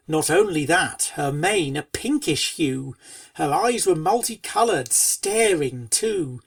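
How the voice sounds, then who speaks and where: A woman reading scripted storybook narration in rhyming verse, with a theatrical, measured cadence. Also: sits low, no echo, distant mic. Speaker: fan fiction reader and narrator.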